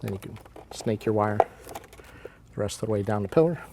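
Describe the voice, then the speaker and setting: A man's voice: lowish voice, lively intonation, brisk, creaky, noisy background. An American man in his forties giving a casual, plain-spoken step-by-step tutorial as an installation instructor.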